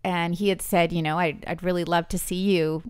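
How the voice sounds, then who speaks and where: A woman's voice: lowish voice, level tone, normal speed, smooth. A woman from British Columbia, speaking as a podcast guest in an earnest, thinking-aloud way with frequent restarts. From British Columbia, Canada. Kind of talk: conversational interview.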